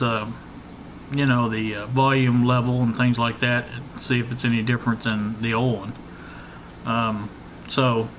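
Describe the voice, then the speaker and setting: Older male hobbyist host, sixties, average pitch, unscripted and hesitant, amateur recording with noticeable background noise. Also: a bit bright, poor audio. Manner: hesitant, rambling. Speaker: hobbyist electronics repair host. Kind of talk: casual, unscripted how-to talk. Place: kitchen at home. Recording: clear background noise, amateur home video.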